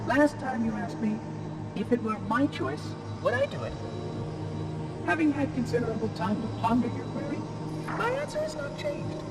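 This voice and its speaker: High, prim, officious robot monitor. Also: male voice, big pitch swings, normal speed, vocal fry, recorded from a distance, loud music behind the voice, exaggerated voice. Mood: matter-of-fact, insistent. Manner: formal, fussy, pompous diction. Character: small floating ancient robot monitor, prim, cheerful, officious, menacing. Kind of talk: sci-fi video game acting.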